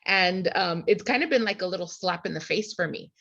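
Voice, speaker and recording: A woman who teaches high school, candidly sharing a personal experience on a video call, with frequent "um"s. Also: fairly quick, vocal fry, a bit bright, slightly rough, highs cut off. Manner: candid, reflective, with fillers.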